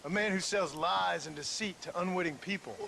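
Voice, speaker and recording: A man's voice: high voice, brisk, creaky, crowd noise. A man playing a tough, world-weary cowboy gunman in a video game, dry, blunt and unimpressed. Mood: menacing. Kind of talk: dramatic video game acting.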